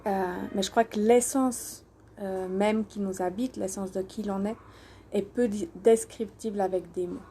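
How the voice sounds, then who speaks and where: A woman's voice: mid-range voice, steady tone, measured pace, warm tone, slight background noise. A woman from Switzerland and Portugal introducing herself informally on a live stream, speaking hesitantly and searching for her words. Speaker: doula and alternative therapy practitioner hosting a live stream. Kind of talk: informal self-introduction on a social media live.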